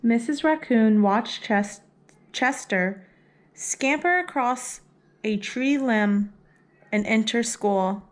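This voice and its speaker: A woman reading a picture book aloud for young children in a deliberate storytelling manner. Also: on the high side. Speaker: read-aloud host.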